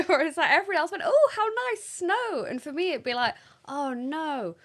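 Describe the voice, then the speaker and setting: A woman's voice: sits high, normal speed. A woman in her thirties with a northern English accent, co-hosting a parenting podcast and chatting casually with lots of laughs. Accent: northern English, toned down. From northern England. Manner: animated, laughs often, acts out dramatic quotes. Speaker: podcast co-host. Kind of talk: casual chat.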